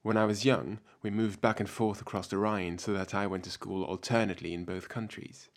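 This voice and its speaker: A man from France, raised speaking French and German, with a French-German accent, delivering a measured, reflective scripted talk as a researcher. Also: lowish voice, steady tone. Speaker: PhD researcher giving a talk. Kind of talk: scripted spoken essay.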